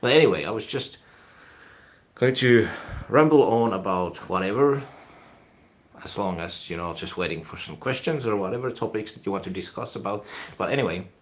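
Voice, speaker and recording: A man from Finland with a Finnish accent, hosting his own live stream and rambling casually, often trailing off quietly. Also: fairly low pitch, expressive, normal speed. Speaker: YouTube channel host.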